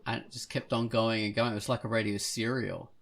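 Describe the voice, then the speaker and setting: Low, gravelly man chatting on a podcast. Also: little pitch movement, brisk, a bit bright. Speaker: podcast host. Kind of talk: casual rambling chat.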